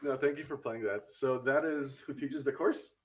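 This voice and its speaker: A man hosting a casual panel talk, speaking in a halting way with frequent hesitations and restarts. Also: mid-range voice. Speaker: host, academy representative.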